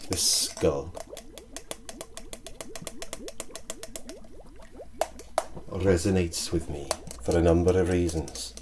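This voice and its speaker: A man with a Welsh accent playing a sinister, pompous Elizabethan alchemist in a hushed, deliberate ASMR roleplay. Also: sits low. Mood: measured, secretive. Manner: archaic, pompous, deliberate phrasing. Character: wretched, sinister Elizabethan male alchemist, grandly mystical. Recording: light steady electrical background noise. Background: liquid sounds and clicks.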